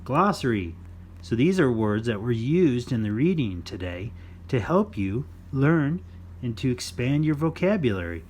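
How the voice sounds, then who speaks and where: A man's voice: mid-range voice, big pitch swings, unhurried, slight background noise. A man hosting a children's story time, reading a non-fiction book aloud in an instructive, playful way for young listeners.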